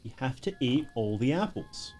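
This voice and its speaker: A man's voice: medium pitch, expressive, normal speed, a little mellow. A man giving casual live commentary as a streamer, with wry, joking asides. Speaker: streamer reacting to a horror game video. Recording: music playing behind the voice. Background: music.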